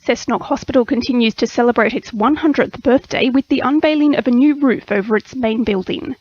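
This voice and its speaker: A woman's voice: fairly high pitch, fairly quick. An Australian woman reading a scripted radio news bulletin as the newsreader. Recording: slightly dull radio broadcast audio.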